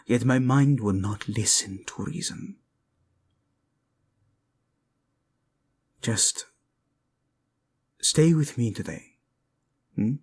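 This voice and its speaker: Casting: male actor for lovesick, protective king, Spanish accent, breathy husky tone, unhurried intimate delivery for audio romance. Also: medium pitch, full character voice. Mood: pleading. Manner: tender, halting, dramatic, sprinkles in endearments. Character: protective young king, tender, lovesick, proud, playful romantic. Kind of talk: intimate romantic audio drama acting addressed to listener.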